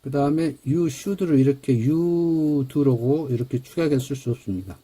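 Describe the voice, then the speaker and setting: A male grammar lecturer with a very dark, mellow tone and a husky edge to his voice. He walks through each point step by step and slows right down to read English example sentences aloud. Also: fairly high pitch.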